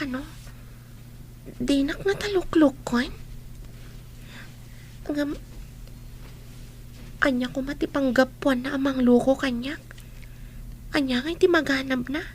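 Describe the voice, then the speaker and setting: High-voiced, naive schoolgirl. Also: slight background noise. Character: teased schoolgirl daughter, naive and self-doubting. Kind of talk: Ilocano radio drama acting.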